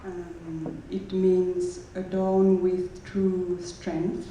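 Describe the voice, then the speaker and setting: Very slow French-accented nun. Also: female voice, lowish voice, level tone, mellow, a little hiss or hum. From France. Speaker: Buddhist nun. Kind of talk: self-introduction to an audience. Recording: echoey hall.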